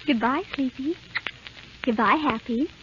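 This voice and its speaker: Radio fairy-tale princess: young, sweet, trusting, lilting and very slow, extremely high voice. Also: female voice, heavily acted. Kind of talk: 1930s radio acting. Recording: dull noisy vintage radio recording.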